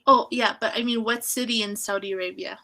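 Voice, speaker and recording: A woman from Canada tutoring English on a video call, friendly and encouraging, gently correcting her student. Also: a little high, fairly even intonation, fairly quick. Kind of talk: online conversation lesson.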